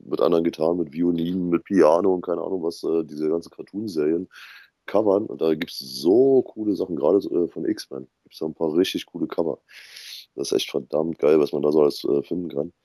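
Deep, mellow man. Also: brisk, no room sound, clean studio sound. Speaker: podcast guest. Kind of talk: casual nerd chat.

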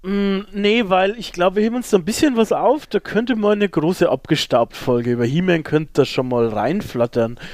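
Man, thirties, fairly high voice, informal slangy podcast talk, dry close sound. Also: moderate pace, hardly any pauses, darkish tone, dry recording. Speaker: podcast co-host. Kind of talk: casual pop-culture chat.

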